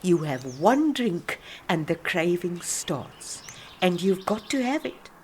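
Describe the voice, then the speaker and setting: An actress playing an older South African woman, a proud alcoholic who rambles haltingly and defensively through a radio-play monologue of reminiscence. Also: fairly low pitch, very animated, darkish tone, a little hiss or hum. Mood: amused recounting.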